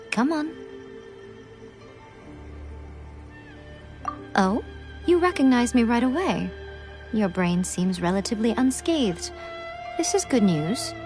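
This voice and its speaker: A cunning, self-assured shrine maiden from a video game, played by a woman, speaking with coy, knowing playfulness. Her voice has an average pitch for a woman, with music behind it. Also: natural voice. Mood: teasing, calm. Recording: music under the voice.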